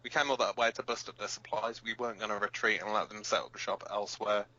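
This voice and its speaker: A man playing a gruff, battle-scarred resistance fighter co-hosting an underground radio broadcast in an audio drama, blunt, profane and halting. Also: fairly low pitch, level tone, bright, forceful tone. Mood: defiant. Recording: dull lo-fi sound.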